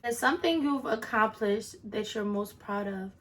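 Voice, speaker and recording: A woman's voice: medium pitch, normal speed, a bit bright. A woman of twenty-one answering viewer questions in a casual vlog, chatty with sassy asides to her viewers. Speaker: vlogger.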